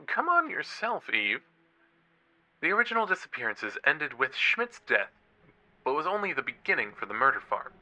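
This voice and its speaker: An actor playing an eager young college true-crime podcaster in a horror audio drama, a teasing, suspenseful storyteller. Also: male voice, a little high, huge pitch swings, normal speed, pushed and bright, heavily acted, rough recording. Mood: playful, spooked. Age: young adult.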